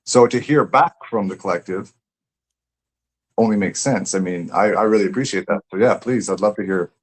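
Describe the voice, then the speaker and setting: A man's voice: on the low side, normal speed. A man talking casually and reflectively as a guest author, earnest and repeating words as he thinks aloud. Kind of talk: casual, reflective interview chat.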